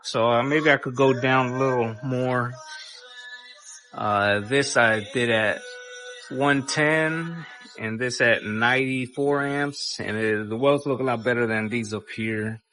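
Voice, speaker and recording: A man's voice: medium pitch, takes it slowly, sharp tone. A man giving a casual, unscripted DIY build walkthrough in a laid-back, plain-spoken, sweary way. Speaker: host of a DIY car build channel. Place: garage workshop.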